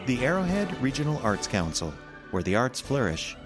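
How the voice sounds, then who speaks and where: A man's voice: mid-range voice, sing-song, normal speed. A man announcing a radio drama and reading sponsor copy in a scripted style. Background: music.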